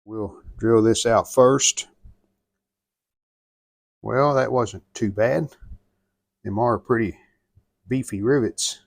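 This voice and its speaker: A man with an American accent and a noticeably creaky, gravelly voice talks through a repair job unscripted. He takes his time, speaking very slowly in a folksy, plain way. The sound is close and dry, with little room around it. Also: mid-range voice, dry recording. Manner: folksy, plainspoken, thinking aloud while working. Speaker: host of a radio restoration channel. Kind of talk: unscripted hands-on repair narration.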